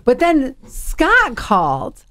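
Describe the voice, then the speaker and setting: A woman's voice: high-pitched, huge pitch swings, takes it slowly, a bit bright, no room sound. A young woman co-hosting a podcast over a video call, telling stories, laughing a lot and mimicking people. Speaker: podcast co-host. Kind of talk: casual chat. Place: home office.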